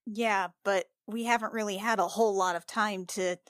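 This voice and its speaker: A woman playing a tabletop roleplaying game, speaking casually and haltingly as she improvises dialogue. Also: medium pitch, fairly even intonation, fairly bright tone, no echo, clean studio sound.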